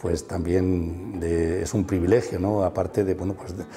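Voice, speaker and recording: A man's voice: deep voice, steady tone, normal speed, mellow, big-room sound, soft background music. A middle-aged man guiding an interview through a museum, enthusiastic and full of fillers and tag questions. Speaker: musician and museum guide. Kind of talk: explanatory interview chat. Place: museum room.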